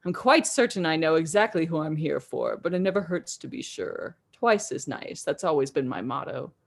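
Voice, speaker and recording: Lady doing a creepy santa guy, slow and hissy, gets boomy, storytelling podcast. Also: lively intonation, vocal fry, exaggerated voice. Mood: sly menacing. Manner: slow, hissing, then booming. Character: menacing male Santa, sly and gloating.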